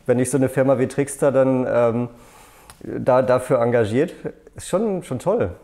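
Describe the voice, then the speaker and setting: A man's voice: mid-range voice, measured pace, dark tone. A man hosting an interview, asking encouraging questions and often restarting his sentences. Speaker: interviewer, host.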